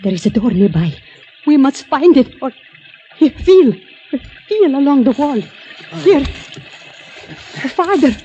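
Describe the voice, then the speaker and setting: A woman's voice: sits high, big pitch swings, takes it slowly, long pauses, dark tone, full character voice. An actress playing a bold, commanding foreign woman on the run in a radio drama, speaking in terse, formal phrases. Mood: urgent, commanding. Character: bold, urgent foreign woman fugitive, admiring and seductive. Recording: muffled old radio recording, music behind.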